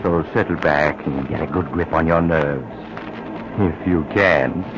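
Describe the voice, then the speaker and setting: A man's voice: a bass voice, unhurried. An actor playing a sinister male narrator who leads listeners into a creepy radio tale, in 1940s radio style. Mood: ominous. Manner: teasing, ominous delivery. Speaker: narrator host. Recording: muffled old radio broadcast with hiss.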